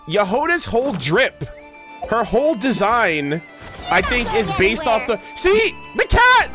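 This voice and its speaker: A man streaming a video game, giving casual, playful and expressive commentary in gamer slang. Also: sits high. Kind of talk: casual gaming livestream commentary, reading dialogue aloud.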